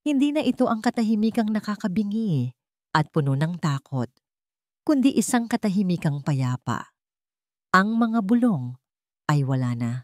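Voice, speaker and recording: A woman's voice: medium pitch, sing-song, normal speed, pauses often, darkish tone, no room sound, studio quality. A woman narrating a scripted dramatic story.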